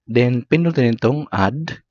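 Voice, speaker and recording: A man with a Filipino accent narrates a how-to in short, segmented instructions. His voice sits at an average pitch. The recording is dry and a little dull and lo-fi. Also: animated, unhurried, a little mellow, no echo. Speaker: tutorial host. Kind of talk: step-by-step app tutorial narration.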